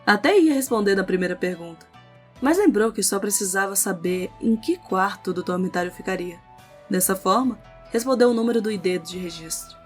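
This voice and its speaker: A woman with a Brazilian accent reading scripted narration for an audio novel. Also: mid-range voice. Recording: music under the voice.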